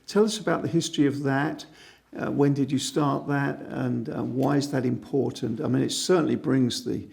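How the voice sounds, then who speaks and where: A man's voice: mid-range voice, steady tone, moderate pace, creaky, darkish tone. A man working as a reporter, interviewing a guest with chatty, leading questions.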